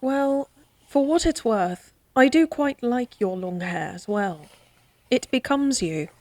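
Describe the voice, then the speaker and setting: A woman playing a candid, devoted lady-in-waiting in a fantasy audio drama, speaking formally with a gently teasing, coaxing manner. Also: fairly high pitch, lively intonation, slow, no echo. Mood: gentle, fond.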